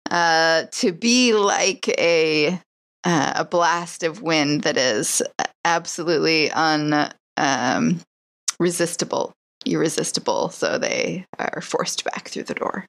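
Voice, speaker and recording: A woman's voice: low voice, slow. A woman playing a tabletop roleplaying game, improvising casually and thinking aloud.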